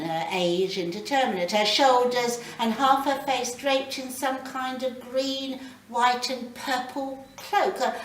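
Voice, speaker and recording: A British woman reading a short story aloud in a dramatic, deliberate way. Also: on the high side. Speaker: story reader. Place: live reading in a library. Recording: roomy, distant mic, faint background noise.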